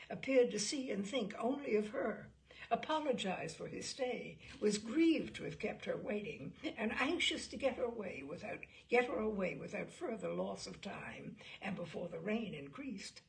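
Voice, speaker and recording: Woman narrator with a creaky voice. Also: mid-range voice, lively intonation, normal speed, poor audio. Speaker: audiobook reader. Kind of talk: literary narration of a classic novel, voicing dialogue.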